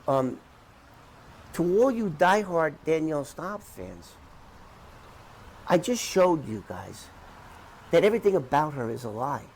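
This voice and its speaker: A middle-aged man talking unscripted to the camera in an emphatic, repetitive, halting way as he rebuts claims. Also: on the high side, darkish tone, husky. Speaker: interview commentator debunking someone's claims.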